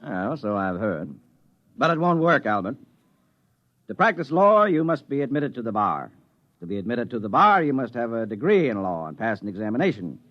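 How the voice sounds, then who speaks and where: An actor playing a droll, cheerfully blunt lawyer in a 1950s radio comedy, with wry, understated delivery and drawn-out phrases. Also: male voice, mid-range voice, very animated, lightly acted. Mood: pondering. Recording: dull, band-limited vintage radio recording.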